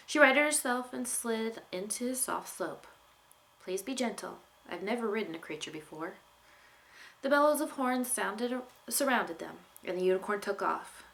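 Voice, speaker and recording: A woman narrating a young adult fantasy story as an author reading aloud, with expressive delivery. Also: medium pitch, rough recording. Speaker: author reading from own novel.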